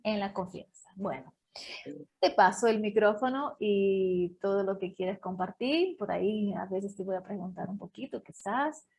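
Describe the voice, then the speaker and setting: A woman's voice: mid-range voice, creaky, a little mellow, husky. Woman. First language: Portuguese. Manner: halting, self-correcting over names and words. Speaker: host. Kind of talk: online talk introduction.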